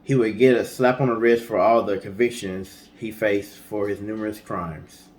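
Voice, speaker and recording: A man with a lowish voice hosts a storytime show. He tells the story in an informal, colloquial way and slips in casual remarks as he goes. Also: steady tone, normal speed, a little mellow, slight background noise. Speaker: co-host of a storytime guessing-game show. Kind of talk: storytelling from notes with casual banter.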